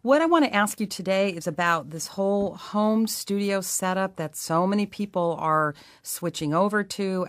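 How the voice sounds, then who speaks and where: A woman's voice: low voice, no echo. A woman hosting a conversational interview in a plain-spoken way.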